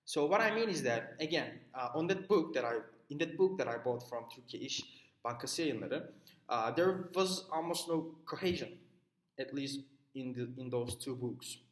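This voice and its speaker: A man whose first language is Turkish talks through a reading summary for a class video. He pauses on lots of "uh"s and restarts his sentences as he leans on personal examples. His voice sits at an everyday pitch and bounces around a roomy, echoey space. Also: lively intonation. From Turkey. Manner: many "uh" fillers and restarts, explaining with personal examples. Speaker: student presenting a reading assignment.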